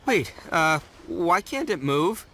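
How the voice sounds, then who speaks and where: Loud, swooping young gang boss. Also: male voice, fairly high pitch, big pitch swings, slow pace, vocal fry, a little hiss or hum, heavily acted. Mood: disbelieving. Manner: bombastic, slangy, exclamatory. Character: brash, dim but big-hearted young gang boss. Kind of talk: animated video game voice acting.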